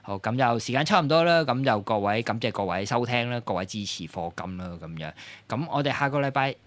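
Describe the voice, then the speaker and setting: A man's voice: mid-range voice, fast. A man hosting a casual podcast chat, talking loosely with many fillers. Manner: relaxed, many fillers, wraps up the show. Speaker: podcast host.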